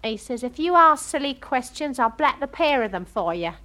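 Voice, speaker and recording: A woman's voice: high-pitched, very animated, normal speed, pushed and bright, heavily acted. An actress playing a chatty, romantic young English woman with a working-class accent, gossiping in a comic monologue. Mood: wry, animated. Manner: gossipy, dramatic storytelling, quoting others.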